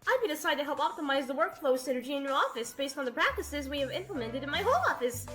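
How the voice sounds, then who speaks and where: A woman voicing a loud, bubbly Canadian goose office consultant with pushy, chirpy corporate pep in an animated comic dub. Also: high voice, fast, faint music behind. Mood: businesslike.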